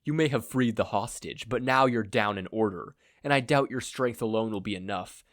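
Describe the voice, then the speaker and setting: A man's voice: on the low side, normal speed, fairly bright tone, dry recording, studio quality, lightly acted. A man playing a grandiose, self-deluded male supervillain with a pompous, melodramatic, wordy delivery in a fan fiction reading. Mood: threatening.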